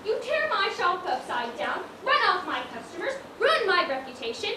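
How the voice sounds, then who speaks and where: Stage role: haughty, put-upon woman shopkeeper, played by a woman, very high voice, scolding and theatrical, picked up from far off. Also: very animated, moderate pace, bright, forceful tone, heavily acted. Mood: indignant. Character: indignant, proper woman shopkeeper, sharp-tongued and put-upon. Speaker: stage actor in a one-act play. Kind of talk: projected amateur stage acting. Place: theatre stage, distant microphone. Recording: echoey, noisy stage recording from afar.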